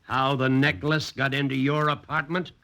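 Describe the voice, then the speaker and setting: A man's voice: mid-range voice, level tone, moderate pace, close to an everyday voice. An actor playing a blunt, suspicious policeman with a terse, sardonic delivery in a 1940s radio crime drama. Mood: curt, needling. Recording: noisy old radio recording.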